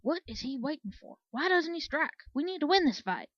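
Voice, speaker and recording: A woman's voice: sits high, very animated, fairly quick, no echo, muffled recording, voice pushed up. A woman voicing a young, scornful male Pegasus soldier in a storybook, grumbling and impatient. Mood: impatient, uneasy.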